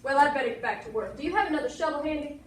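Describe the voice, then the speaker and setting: Woman acting a high, stubborn frontier rancher. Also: lively intonation, very fast. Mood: determined. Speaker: amateur stage actor in a school play. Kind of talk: projected amateur stage acting. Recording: echoey room from far off, noisy.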